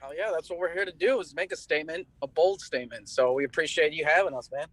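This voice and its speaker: A man's voice: a little high, quick pace, a bit bright, no echo, poor audio. An American man, a band's lead singer, chatting casually and in slang as an interview guest. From United States.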